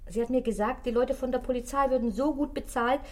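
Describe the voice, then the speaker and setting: Eine Schauspielerin spielt in einem deutschen Hörspiel eine geschwätzige, ausweichende Concierge, die zögernd und vertraulich redet. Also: female voice, sits high, fairly even intonation, quick pace, a little mellow, natural voice. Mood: evasive, confiding. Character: gossipy, evasive, money-minded Parisian concierge woman. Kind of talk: German radio play acting.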